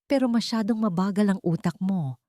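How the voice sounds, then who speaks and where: A woman's voice: medium pitch, fairly quick, mellow, no room sound, clean studio sound, lightly acted. A woman voicing a cool, composed wronged billionaire woman in a story, cold and cutting.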